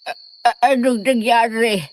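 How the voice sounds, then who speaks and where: A woman's voice: fairly high pitch, fairly even intonation, faint music behind, natural voice. A woman playing a distraught, stammering village aunt in a Filipino horror audio drama. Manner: stammering, halting.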